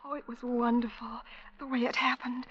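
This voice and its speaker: An actress playing a dreamy, fearful young woman in love in a radio drama, breathy and wistful, often near a whisper, in 1940s radio style. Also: fairly high pitch, level tone, moderate pace, a little hiss or hum, natural voice. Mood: rapturous. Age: young adult. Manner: wistful, rapturous, hushed. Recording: dull vintage radio recording.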